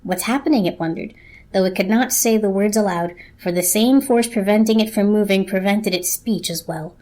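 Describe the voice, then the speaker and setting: A woman reading scripted story narration as a narrator, in a measured, literary storytelling manner. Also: medium pitch, a little mellow.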